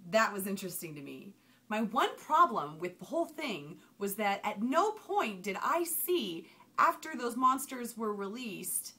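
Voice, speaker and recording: A young woman talking casually to her webcam at home, chatty and emphatic as she gives a movie review. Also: fairly high pitch. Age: young adult. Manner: chatty, animated hand gestures, emphatic outbursts. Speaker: vlogger. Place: small home room with shelves. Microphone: webcam at desk.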